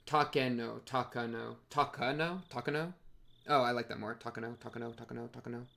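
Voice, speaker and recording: Young man, casual game commentator, mid-pitched, crisp bright edge, creaky, slangy delivery. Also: fairly even intonation, a little fast. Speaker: streamer playing a visual novel. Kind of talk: casual playthrough commentary and reading aloud.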